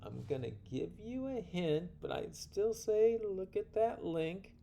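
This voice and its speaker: Male tutorial presenter, slow delivery with vocal fry, informal, folksy and playful. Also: sits high, very animated, mellow, a little hiss or hum. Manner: folksy, playful, with exclamations and rambling asides. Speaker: card game instructor and presenter. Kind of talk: informal tutorial narration walking through card hands.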